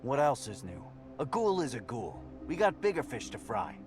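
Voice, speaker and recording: Man as a brash mutant stalker, very animated. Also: mid-range voice, normal speed, lightly acted. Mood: sardonic. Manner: sarcastic, swaggering. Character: brash, sarcastic, crude male mutant stalker. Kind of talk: video game character acting. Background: music.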